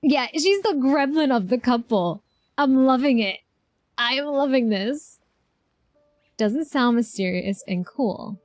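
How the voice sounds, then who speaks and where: A woman's voice: high-pitched, animated, slow pace, no echo. A woman streamer giving casual game reaction commentary, chatty and thinking aloud. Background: faint game music.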